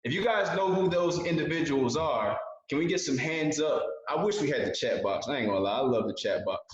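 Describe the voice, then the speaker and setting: A man's voice: fairly high pitch, lively intonation, a little fast. Echoey young man giving a pep talk. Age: 20s. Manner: earnest, repeats key phrases for emphasis. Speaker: guest speaker. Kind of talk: motivational talk on a video call. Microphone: video call. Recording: very echoey, dull video call audio.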